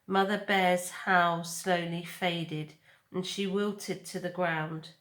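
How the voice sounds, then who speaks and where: Slow-paced woman storyteller in echoey room. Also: fairly low pitch, steady tone, fairly bright tone. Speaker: storybook reader. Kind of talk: dramatic read-aloud narration of children's fiction.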